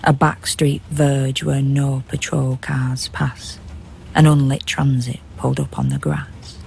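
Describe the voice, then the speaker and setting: A grave female narrator in a poetic radio play. She speaks slowly and deliberately, with a hushed, creaky edge. Faint ambient noise sits behind her voice. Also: rumbling low, level tone, full character voice. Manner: measured, hushed, deliberate verse delivery. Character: grave poetic narrator watching over men sleeping in cars.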